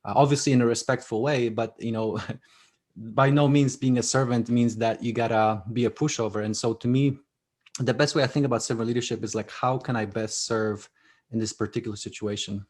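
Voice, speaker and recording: A man's voice: mid-range voice, steady tone, fairly quick, darkish tone. A man whose first language is Polish, a guest author talking casually in an interview, often restarting and repeating words. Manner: hesitant, repeats words, many fillers.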